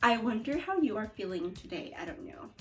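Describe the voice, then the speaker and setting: A woman with an American accent teaching a read-aloud lesson to young children, expressive and speaking straight to her pupils. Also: medium pitch, a little fast, soft background music. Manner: animated, expressive, addressing pupils directly. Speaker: elementary school teacher.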